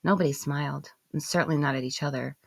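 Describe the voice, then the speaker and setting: A woman reading scripted first-person literary narration in a measured, expressive way, with phrases falling away softly. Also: low voice, gravelly, no echo, studio quality. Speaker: audiobook narrator and author.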